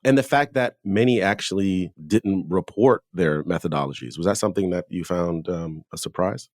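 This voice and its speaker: Guy hosting a research podcast, really low voice, dry close sound, long careful questions. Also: normal speed, dry recording. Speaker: podcast host, interviewer. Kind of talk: scholarly interview questioning.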